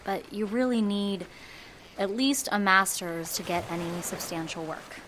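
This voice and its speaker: A woman's voice: mid-range voice, a little slow, a bit bright, a little hiss or hum, lightly acted, poor audio. A woman playing wry, guarded Veronica. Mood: wistful. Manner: dry, wry, subdued. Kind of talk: audio drama acting.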